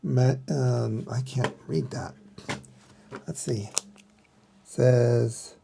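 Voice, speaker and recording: A middle-aged man giving a casual hands-on explanation in a halting manner, as if thinking aloud. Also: medium pitch, fairly even intonation, vocal fry, warm tone, slight background noise. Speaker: vlogger showing a vintage radio.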